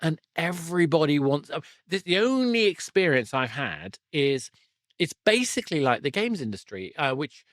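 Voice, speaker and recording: A man hosting a conversational podcast interview, talking in a halting, wordy, enthusiastic way. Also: on the high side, sing-song, a bit bright, no echo, studio quality. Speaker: podcast host.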